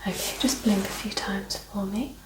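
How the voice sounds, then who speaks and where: Soft, half-whispering woman in a roomy recording. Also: medium pitch, steady tone, moderate pace. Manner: soft, partly whispered, gently instructing, reassuring. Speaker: performer playing a doctor giving an eye exam. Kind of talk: soft-spoken ASMR medical roleplay. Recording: roomy, distant mic, faint noise.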